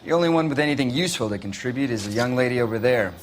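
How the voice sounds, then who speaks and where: A man's voice: medium pitch, animated, racing, a little hiss or hum, natural voice. An actor playing a matter-of-fact patrolman in a video game, reporting in a dry, factual way. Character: matter-of-fact male patrolman briefing detectives. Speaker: patrol officer character.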